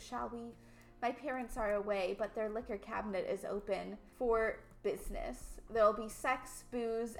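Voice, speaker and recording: A woman playing a rich, syrupy, commanding teenage queen bee with theatrical delivery in an amateur radio play. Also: on the high side.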